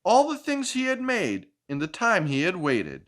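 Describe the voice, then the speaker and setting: A man's voice: sits high, huge pitch swings, normal speed, a bit bright. A man hosting a children's storytime, reading a picture book expressively with playful, chatty asides to a child. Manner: playful, asking questions and echoing the child's answers.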